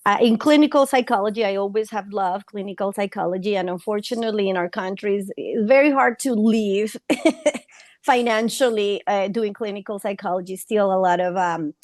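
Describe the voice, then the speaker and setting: A woman therapist from Colombia with a Colombian Spanish accent, a native Spanish speaker, talking in a halting way with fillers in an interview. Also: mid-range voice, no room sound, clean studio sound. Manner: warm, halting, many fillers and restarts. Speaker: trauma therapist guest. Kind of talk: conversational interview.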